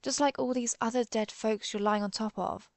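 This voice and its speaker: A woman playing a cool, menacing, centuries-old vampire hunter in a roleplay audio drama, deliberate and sardonic as she interrogates the listener. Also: a little high, steady tone, dry recording, close to an everyday voice. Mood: knowing, sardonic. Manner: deliberate, interrogating, dryly threatening.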